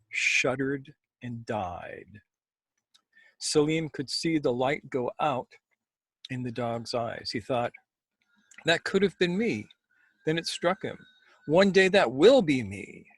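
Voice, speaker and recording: A man who co-hosts and tells stories, speaking in an expressive, measured way with dramatic pauses. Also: mid-range voice, dry recording. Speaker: storyteller and co-host. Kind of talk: expressive story reading.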